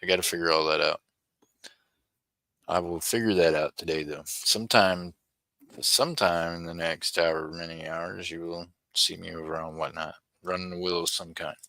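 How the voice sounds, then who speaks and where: Man, forties, low bright voice, rambling live-stream chat, dry close sound. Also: steady tone, moderate pace, vocal fry, dry recording. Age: 40s. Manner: rambling, chatty, talks to viewers by name. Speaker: coin roll hunter streaming live.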